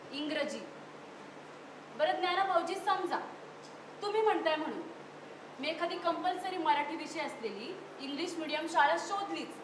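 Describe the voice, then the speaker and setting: A woman's voice: sits high, natural voice. A woman playing an earnest city sister-in-law in a live stage play, arguing her case politely and with emphasis. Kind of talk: live one-act stage play acting. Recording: echoey distant stage recording with noise.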